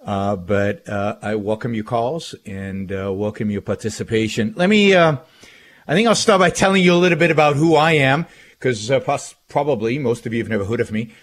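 A man's voice: mid-range voice, fairly quick. A middle-aged man with an Israeli accent, talking off the cuff as a radio host in an emphatic way, often repeating phrases. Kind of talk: talk radio monologue.

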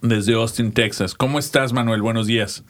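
A man hosting a radio show, upbeat and casual, often halting with fillers. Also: medium pitch, fairly even intonation. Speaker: radio host.

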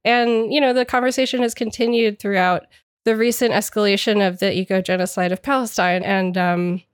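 A woman's voice: mid-range voice, steady tone, a little fast, no room sound, studio quality. A woman hosting a podcast, a little congested from a cold, speaking in an earnest, explanatory way. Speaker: podcast host. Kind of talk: scripted podcast intro and discussion.

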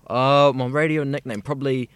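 A man's voice: medium pitch, steady tone, normal speed, smooth, no room sound. A young man from South Africa, a radio student chatting easily and at length in an interview. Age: young adult. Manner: chatty, rambling and easygoing. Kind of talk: casual interview chat.

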